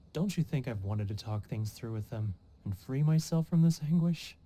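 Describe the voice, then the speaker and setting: A man's voice: medium pitch, expressive, moderate pace, warm tone, slight background noise, natural voice. An actor playing a homesick, guilt-ridden male merchant in a video game, theatrical and sighing, self-pitying in manner. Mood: anguished. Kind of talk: video game character acting.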